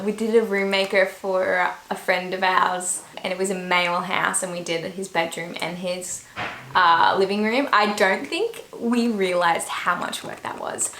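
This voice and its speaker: A woman's voice: mid-range voice, a bit bright. A young Australian woman with an Australian accent answering questions in a casual vlog, chatty and full of fillers and trailing asides. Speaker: YouTuber. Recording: echoey room with faint noise.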